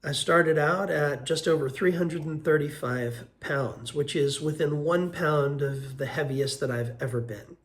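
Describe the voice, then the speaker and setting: A man in his fifties, hoarse from a cold, giving an earnest, unhurried vlog update with frequent ums and uhs. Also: on the high side. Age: 50s. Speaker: vlogger. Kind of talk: casual weight-loss update vlog.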